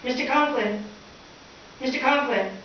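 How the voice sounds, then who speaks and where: A woman's voice: high voice, unhurried, a bit bright. A woman playing a wry, exasperated schoolteacher with theatrical delivery in a comic live radio play. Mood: alarmed. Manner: dry sarcasm, theatrical alarm. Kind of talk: comic old-time radio play acting. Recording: echoey hall from far off, dull, hissy.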